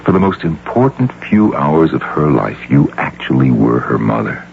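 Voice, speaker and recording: An actor playing a tender, persuasive middle-aged rich suitor in an old-time radio drama. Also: male voice, extremely low pitch, big pitch swings, normal speed, vocal fry, exaggerated voice. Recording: muffled, lightly noisy radio broadcast tape.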